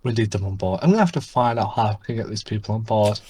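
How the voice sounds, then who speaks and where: A man's voice: fairly low pitch, quick pace, slightly rough. A man co-hosting a podcast in casual, self-deprecating chat, with a soothing voice. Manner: self-deprecating, excitable storytelling. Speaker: podcast co-host.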